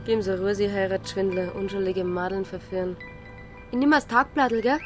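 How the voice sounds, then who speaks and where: An actress playing a brisk, well-informed small-town woman in a radio drama, talking drily in Bavarian dialect. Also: mid-range voice, fairly even intonation, over music, close to an everyday voice. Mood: teasing. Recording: faint ambient noise behind.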